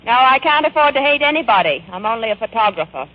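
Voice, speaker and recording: A woman playing a sharp-tongued, worldly press photographer in 1940s radio drama, tossing out wry deadpan wisecracks. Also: on the high side, quick pace. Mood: dry. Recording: dull, hissy 1940s radio broadcast.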